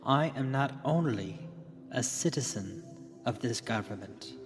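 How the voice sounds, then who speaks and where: A man playing an earnest tech company leader giving a solemn, measured keynote in a radio drama, pausing deliberately between phrases. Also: mid-range voice, expressive, natural voice. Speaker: keynote speaker. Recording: long hall reverb with music behind. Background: music.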